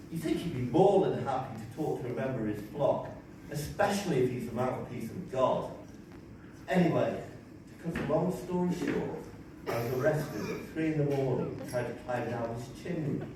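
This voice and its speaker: A man's voice: mid-range voice, normal speed, close to an everyday voice. A man reading the part of a deluded, cheerful psychiatric patient who thinks he speaks for God in a stage play, earnest, chatty and pompous, in a very echoey, distant, noisy recording. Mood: earnest, aggrieved. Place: large echoey room, mic at a distance.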